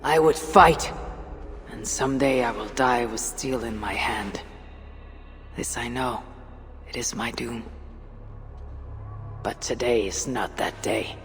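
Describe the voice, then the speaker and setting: An actor playing a stern, grim Viking raider in a video game, speaking in a grave, measured, terse way. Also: mid-range voice, a bit bright. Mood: grim resolve. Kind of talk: cinematic game dialogue. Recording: long hall reverb, music underneath.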